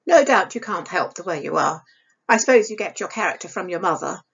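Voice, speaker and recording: A woman's voice: fairly low pitch, expressive, a little fast, gravelly, slightly rough, highs cut off, full character voice. A woman voicing a stern, bitter stepmother in a storybook reading, harsh, reproachful and emphatic.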